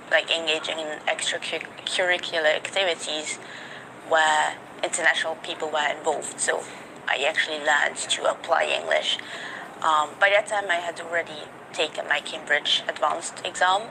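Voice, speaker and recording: A young woman from Switzerland answers questions at an easy, reflective pace, stopping now and then to think. Noticeable background noise sits under her voice. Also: sits low. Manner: relaxed, thoughtful, with pauses and fillers. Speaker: interview guest, student. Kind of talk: casual interview answers.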